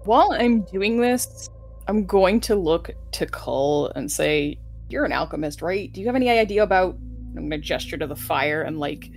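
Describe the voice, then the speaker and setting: A woman plays a tabletop roleplaying game and talks casually. Her voice sits very low and has a lot of vocal fry. Faint music runs behind her. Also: lively intonation, moderate pace. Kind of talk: casual chat in play.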